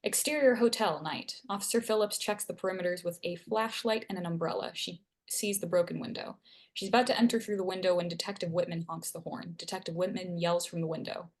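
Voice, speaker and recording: A woman's voice: lowish voice, brisk. A woman reading scene headings and stage directions aloud as narrator at a screenplay table read.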